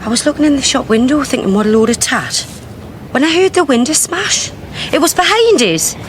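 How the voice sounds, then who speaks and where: A woman's voice: high-pitched, expressive, a little fast. A blunt hard-up northern mother. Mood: wry. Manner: blunt, wry, rambling. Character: hard-up working-class mother, a witness. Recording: radio drama with street noise. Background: street ambience, passing cars.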